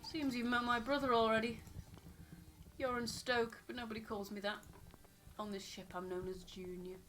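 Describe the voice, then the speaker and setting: A woman's voice: on the high side, steady tone, moderate pace, a bit bright, faint music behind, natural voice. A woman voicing a brash, proud, plain-spoken young ship's cook in a game. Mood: proud, breezy.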